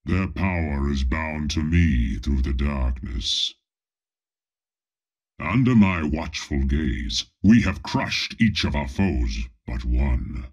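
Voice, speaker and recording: An actor playing a pompous, menacing armored space lord with a robotic body, speaking imperiously with deadpan comic asides in a video game. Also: male voice, slow, exaggerated voice. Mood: grave menace. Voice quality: deep, husky, menacing. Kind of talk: video game character voice lines.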